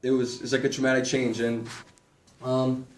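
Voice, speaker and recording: A man's voice: mid-range voice, monotone, moderate pace. A young man from Ecuador giving a casual class presentation, rambling with lots of "like" and fillers. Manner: rambling, filler-heavy, "like" repeated. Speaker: student presenter. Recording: echoey room with background hiss.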